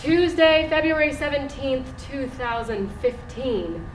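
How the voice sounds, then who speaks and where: A woman's voice: sits high, lively intonation, measured pace. An actress playing a skeptical, naive thirty-year-old woman tourist in a stage play, questioning and incredulous. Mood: disbelieving. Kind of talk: live stage play acting. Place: theatre stage, indoors. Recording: echoey theatre room, faint noise.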